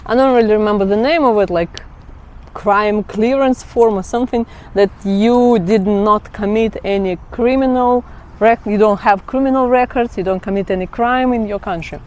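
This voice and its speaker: A woman's voice: medium pitch, normal speed, a little mellow. A woman from Kazakhstan, chatting casually as a vlogger, often laughing and correcting herself. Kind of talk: casual explainer chat.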